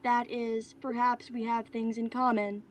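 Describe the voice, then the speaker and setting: A woman playing a precise, obliging artificial assistant in a staged play reading, speaking in a formal, measured, informational way. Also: a little high, flat delivery, lightly acted. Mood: formal, factual.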